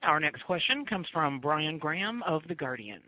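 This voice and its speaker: Higher-pitched male call moderator, phone sound. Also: sing-song, moderate pace, no echo. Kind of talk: formal announcements of questioners. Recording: narrow phone line.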